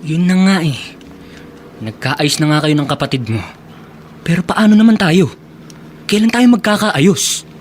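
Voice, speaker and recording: Pushy young filipino boyfriend, radio drama, swingy cajoling voice, faint noise. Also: male voice, fairly high pitch, animated, measured pace, pauses often. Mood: troubled. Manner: cajoling, emphatic. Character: pushy, slick young boyfriend, persistent and dismissive.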